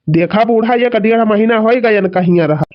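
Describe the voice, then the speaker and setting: A man's voice: high voice, a little fast, natural voice. A man playing a complaining young village farmer and son-in-law in a cartoon comedy, with an Awadhi accent and an insistent, plaintive manner. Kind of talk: rural cartoon comedy dialogue. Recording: dull lo-fi sound.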